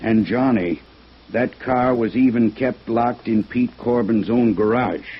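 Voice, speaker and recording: A breezy, self-assured American gumshoe in a vintage radio detective show. He sounds husky and folksy, and the old recording is dull and lo-fi. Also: medium pitch, a little slow. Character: cocky, breezy male private eye. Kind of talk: 1950s radio detective drama acting.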